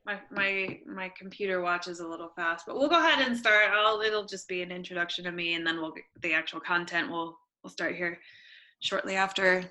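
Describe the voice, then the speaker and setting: A woman hosting an online workshop over a video call, chatty and relaxed with casual fillers. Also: fairly low pitch, fairly quick, a little hiss or hum. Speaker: workshop host.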